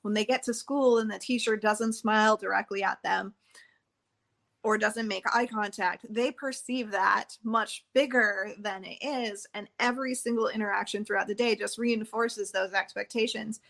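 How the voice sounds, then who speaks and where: A woman's voice: medium pitch, steady tone, normal speed, gravelly, a bit bright. Woman hosting, explaining earnestly. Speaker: podcast host and trauma practitioner. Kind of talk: conversational podcast interview.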